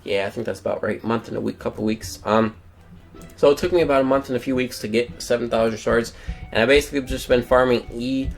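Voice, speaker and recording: Young male let's-player, mid-pitched, rambling casual commentary, lots of "um"s. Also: fairly even intonation, fast talker, creaky, soft background music. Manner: rambling, many "um" fillers. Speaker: gameplay commentator.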